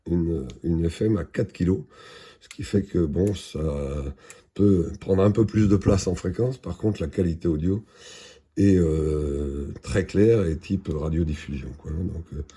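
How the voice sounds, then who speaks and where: A man giving an unscripted product demonstration in a halting, matter-of-fact, explanatory way. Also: low voice, mellow, slightly rough. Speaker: product presenter.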